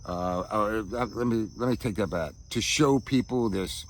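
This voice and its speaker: A man with a low, deep voice talks in a reflective, personal vlog style. He moves haltingly, searching for words and often tagging his thoughts with a quick check for agreement. Faint outdoor insect noise sits behind him. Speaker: vlogger. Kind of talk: reflective personal monologue to camera. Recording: outdoor ambience with insects chirping.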